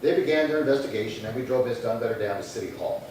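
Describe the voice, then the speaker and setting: A man playing a matter-of-fact, terse police detective in a 1950s radio crime drama, deadpan and procedural. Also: mid-range voice, racing, close to an everyday voice. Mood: businesslike. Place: live theatre stage reading. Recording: echoey hall, distant mic, faint noise.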